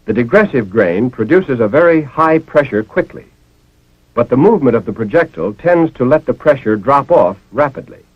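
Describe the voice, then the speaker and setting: An American man reads scripted instruction in the style of a 1940s army film. His pitch moves in a lively way while the delivery stays measured and didactic. The sound is dry and dull, like an old lo-fi film track. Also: breathy edge, dry recording. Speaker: narrator of a military training film.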